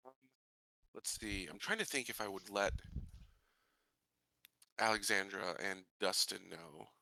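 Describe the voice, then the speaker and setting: A man playing a tabletop roleplaying game, talking casually and deliberately with frequent hesitant pauses. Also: lowish voice, gravelly, bright, forceful tone, dry recording, rough recording. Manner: deliberate, hesitant, pausing often.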